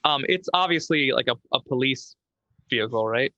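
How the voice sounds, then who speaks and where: Male tabletop player. Bright tone, casual, thinking aloud, somewhat dull recording. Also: mid-range voice, lively intonation, normal speed, no room sound. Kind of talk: casual chat during a roleplaying game.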